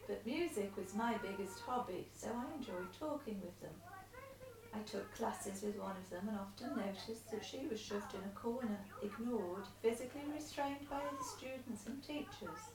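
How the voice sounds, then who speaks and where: A woman's voice: mid-range voice, animated, moderate pace, distant mic, a crowd in the background. A woman, patiently coaxing and encouraging as she reads a letter aloud at home. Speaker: carer reading a letter aloud. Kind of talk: casual home video, reading aloud. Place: room at home. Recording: echoey room, voice off-mic.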